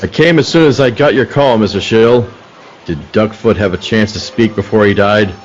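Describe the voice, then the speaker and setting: A man playing an authoritative police detective in a radio play, deliberate and no-nonsense. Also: lowish voice, highs cut off, a little hiss or hum, close to an everyday voice. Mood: businesslike.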